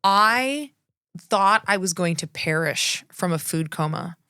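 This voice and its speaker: A woman co-hosting a podcast talks in an easy, enthusiastic way, piling up praise and restarting half-finished sentences. Her voice sits a little low for a woman. The recording is close and dry, with no room sound. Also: expressive, slow, pushed and bright, smooth, no room sound. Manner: chatty, enthusiastic, trails off and restarts. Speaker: podcast co-host. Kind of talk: casual chat.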